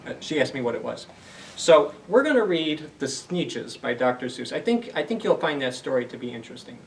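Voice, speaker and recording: A man's voice: medium pitch, very animated, fairly quick, vocal fry, distant mic, slight background noise, low-quality recording. A man hosting a story time for young children, reading aloud and explaining things simply with questions to the listeners.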